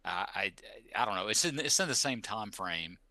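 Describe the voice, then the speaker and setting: A man's voice: lowish voice, expressive, normal speed, bright, forceful tone, dry recording. A middle-aged man, a podcast co-host, chatting casually and rambling through personal reminiscences.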